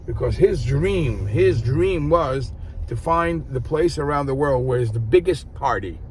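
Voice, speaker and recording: A man giving an informal Torah talk, telling a story with many halting restarts and fillers. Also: medium pitch, expressive, a little mellow, a little hiss or hum. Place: outdoors in an early-morning street.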